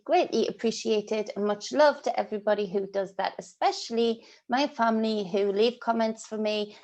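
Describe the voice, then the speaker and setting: A woman's voice: medium pitch, darkish tone. Sniffly woman tarot reader, rambling. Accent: British. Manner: rambling, frequent ums and restarts. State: congested, sniffly. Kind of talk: casual spiritual vlog monologue.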